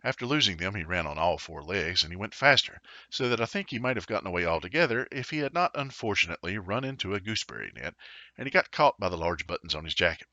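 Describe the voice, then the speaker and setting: Low, animated male storybook narrator. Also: fast, no echo, lo-fi sound. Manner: dramatic, playful emphasis. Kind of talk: expressive children's storybook narration.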